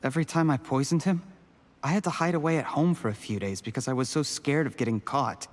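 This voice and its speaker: A man's voice: mid-range voice, quick pace, close to an everyday voice. An actor playing a timid, ambitious young male schemer, nervous yet eager.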